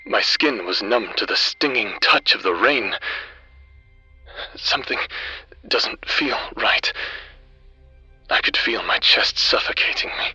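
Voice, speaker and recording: A man as a tense, low-voiced tormented prisoner. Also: bright, forceful tone, husky, full character voice. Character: defiant, tormented male prisoner narrating his anguish. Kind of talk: dramatic audio drama monologue.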